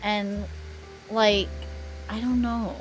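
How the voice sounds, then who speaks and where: A young woman talking openly and casually on a stream, her voice sitting at an ordinary middle pitch. Her phrases often fade to a soft murmur at the end. Clear music plays behind her. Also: flat delivery, drawn-out words, low-quality recording. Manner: candid, soft-spoken, trailing off quietly. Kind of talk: casual chat on a live stream.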